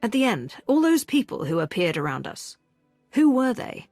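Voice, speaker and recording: A woman's voice: a little high, sing-song, normal speed, a little mellow, no echo, faint music behind. A woman playing a resolute, curt and commanding Sith hero in a video game cutscene. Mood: puzzled.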